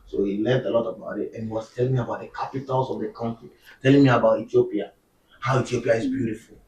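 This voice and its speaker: A man from Ghana chatting casually, telling animated stories and laughing often. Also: medium pitch, quick pace, recorded from a distance, a little hiss or hum. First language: a Ghanaian language. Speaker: panel guest. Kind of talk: casual chat. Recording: echoey room, set back from the mic.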